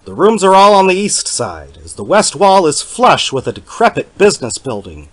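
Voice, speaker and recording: A man acts out a lonely, fussy middle-aged male academic narrating an eerie storybook mystery. His formal, literary delivery is measured yet swoops expressively up and down. He sounds self-pitying and particular about every detail. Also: sits high, huge pitch swings, no room sound, full character voice. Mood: curious, fussy. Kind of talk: dramatic horror story reading.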